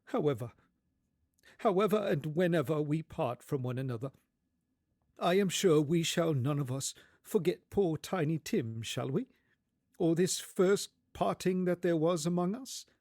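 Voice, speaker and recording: A man voicing a gentle, grieving poor father in a storybook, tender and faltering. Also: a little high, expressive, measured pace, no echo, clean studio sound, voice pushed up. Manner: tender, faltering, repeating himself. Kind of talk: dramatised storybook reading.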